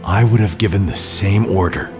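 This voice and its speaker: A man's voice: sits low, moderate pace, music in the background, natural voice. An actor playing an earnest, loyal male military comrade in a video game cutscene. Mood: gentle, reassuring.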